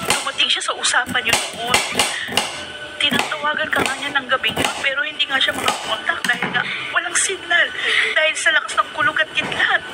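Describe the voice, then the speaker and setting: Woman playing an alarmed, kindly older sister, Filipino accent, high-pitched, stammering, radio drama, heavy noise. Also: fairly quick, close to an everyday voice. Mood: worried. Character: startled, caring older sister of missing man. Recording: very noisy radio drama recording.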